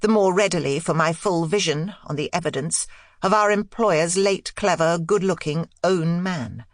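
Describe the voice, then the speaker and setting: A woman narrating a literary audiobook in a measured, dramatic manner. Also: on the low side, no room sound, studio quality.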